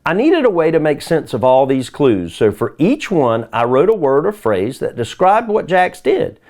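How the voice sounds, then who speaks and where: A competitive young girl from a children's storybook, acted out by a man. She is proud, earnest and insistent. The pitch is lifted and swings widely. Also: very animated, moderate pace, darkish tone.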